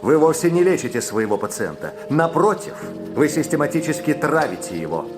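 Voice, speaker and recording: An actor playing a sharp, cool-headed male detective who speaks curtly and accuses without hesitation, in a radio play. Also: on the high side, sing-song, brisk, over music. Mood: accusing. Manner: curt and accusing.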